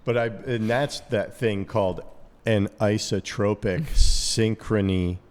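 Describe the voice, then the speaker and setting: A man with a very deep, low voice co-hosts a casual podcast chat. He gropes for words, restarting sentences as he works through his thoughts. His voice trails off into a long, ringing reverb. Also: steady tone. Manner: halting, many restarts, thinking aloud. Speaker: podcast co-host.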